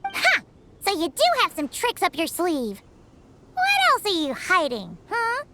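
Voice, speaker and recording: A woman voicing a tiny childlike fairy girl companion in a video game, squeaky, blunt and cheeky in an exclamatory way. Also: wildly swooping pitch, unhurried, very noisy, full character voice. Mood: impressed, nosy. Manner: blunt, exclamatory, refers to herself by name. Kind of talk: video game character acting. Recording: music behind the voice.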